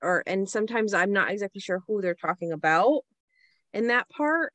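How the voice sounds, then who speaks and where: A woman giving casual feedback on a panel, halting and tentative, often breaking her phrases with "like". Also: mid-range voice, animated, a bit bright, dry recording. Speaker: script reading panellist.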